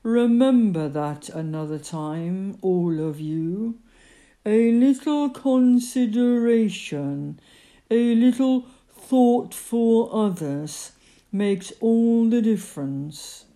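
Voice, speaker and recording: A woman's voice: mid-range voice, lively intonation, slow pace, mellow, voice pushed up. A woman voicing a gloomy, grumbling old male donkey in a storybook, disapproving and drawn out in delivery. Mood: reproachful.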